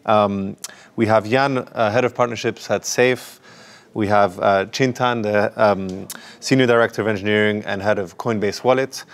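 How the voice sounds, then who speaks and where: A man moderating a conference panel, speaking haltingly with many fillers and restarts. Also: fairly low pitch, fairly even intonation. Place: conference stage.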